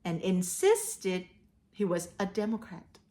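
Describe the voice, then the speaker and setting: A woman hosting a read-aloud for children, telling stories and giving advice in a deliberate way. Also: mid-range voice, sing-song, mellow. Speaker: host of a children's read-aloud storytelling show. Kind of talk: storytelling and advice addressed to children.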